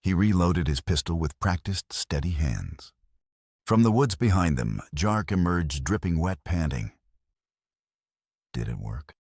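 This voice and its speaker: Audiobook narrator: man with a rumbling deep, slightly rough voice, grave dramatic delivery of scripted fiction. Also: expressive, a little slow, darkish tone, no echo, studio quality.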